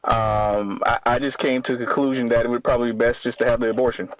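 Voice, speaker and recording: A man in his thirties calling a radio show, answering haltingly and defensively with stammered restarts. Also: mid-range voice, level tone, no echo, soft background music, poor audio. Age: 30s. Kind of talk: spontaneous phone-in conversation.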